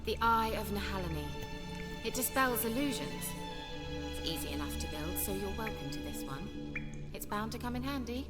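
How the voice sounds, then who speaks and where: A woman's voice: mid-range voice, animated, close to an everyday voice. A woman playing an articulate, coy young sorceress. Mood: arch, teasing. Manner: articulate, measured, slightly arch. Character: self-assured, learned, coy young sorceress. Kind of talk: dramatic video game voice acting. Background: music.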